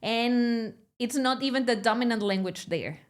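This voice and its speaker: A woman's voice: on the high side, moderate pace. Mexican woman hosting a chatty podcast. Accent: Mexican Spanish. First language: Spanish. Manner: lively, laughs often, restarts sentences. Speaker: podcast host. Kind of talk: casual interview chat.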